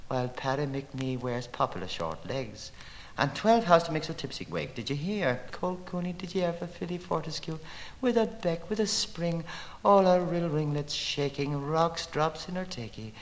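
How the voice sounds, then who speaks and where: An actor playing a grandiose, lyrical narrator, declaiming literary prose theatrically with dramatic swells and hushed phrases on an old record. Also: male voice, a little high. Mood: lilting, gleeful. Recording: noisy old record with long reverb.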